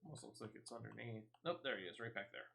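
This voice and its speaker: A man's voice: fairly low pitch, lively intonation, quick pace, gravelly, pushed and bright, a little hiss or hum. A man giving casual gameplay commentary as a streamer, chatty and cracking self-deprecating jokes. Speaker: gaming streamer.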